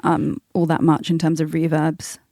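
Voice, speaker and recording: A woman's voice: deep voice, monotone, moderate pace, dark tone, no room sound, studio quality. A woman hosting a podcast, asking questions in a casual, conversational way. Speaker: podcast host, interviewer.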